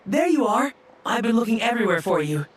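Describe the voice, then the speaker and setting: A man's voice: very high voice, big pitch swings, brisk, slight background noise. A man playing a polite, earnest young researcher from abroad in a video game, courteous and eager.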